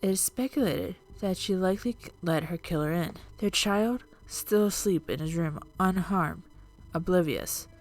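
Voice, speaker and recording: A woman narrating scripted true-crime and urban legend stories as a video host, in a measured, dramatic storytelling manner. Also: fairly low pitch, a little mellow. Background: music.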